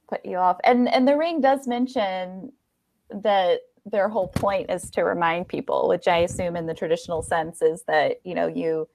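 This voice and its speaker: Low-pitched woman hosting a casual podcast. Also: creaky, darkish tone, no echo. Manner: enthusiastic, affirming. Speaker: podcast host. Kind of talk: casual interview chat.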